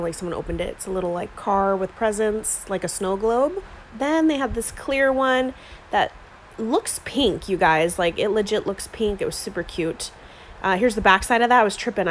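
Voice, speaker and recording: A woman's voice: medium pitch, slight background noise, poor audio. A woman chatting casually while filming herself browsing the aisles of a store, with lots of filler words. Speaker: craft vlogger. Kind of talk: casual shop-with-me narration. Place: inside a store, walking the aisles. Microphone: handheld phone camera.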